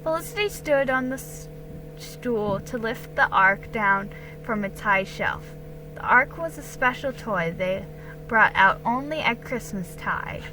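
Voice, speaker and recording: A woman's voice: on the high side, low-quality recording. A woman reading a children's storybook aloud as a dramatic narration. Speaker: story reader.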